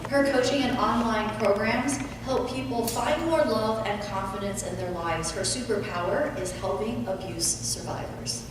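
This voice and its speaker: A woman's voice: mid-range voice, moderate pace, hardly any pauses. A woman host reading a stage introduction for the next speaker. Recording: distant echoey hall with noise.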